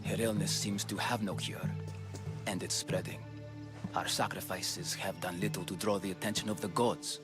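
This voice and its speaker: An actor playing a grave, devout ancient Greek priest and healer with a solemn, measured manner in a video game. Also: male voice, lowish voice, close to an everyday voice. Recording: game music behind the voice.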